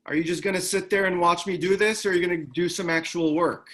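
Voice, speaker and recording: A man's voice: sits high, fairly even intonation, fast, a bit bright. A man playing a terse, guarded ex-military operative in a screenplay table read. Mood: gruff, impatient.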